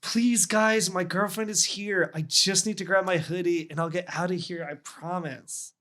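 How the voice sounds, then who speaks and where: A man's voice: high-pitched, fairly even intonation, normal speed, sharp tone. A man playing a hapless, bullied young boyfriend in a comedy sketch, pleading and whimpering.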